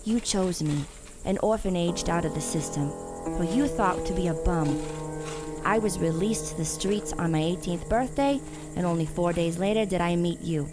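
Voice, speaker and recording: A cold young female killer played by an actress speaks in a mid-pitched, deliberate voice over clear music. She is defiant and self-righteous, with dramatic audio drama delivery. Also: lightly acted. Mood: defiant, proud.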